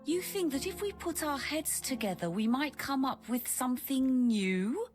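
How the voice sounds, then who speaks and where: A woman playing a blunt, sharp-tongued young woman in a detective video game, direct and challenging. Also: high-pitched, expressive, moderate pace, soft background music. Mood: impatient, challenging.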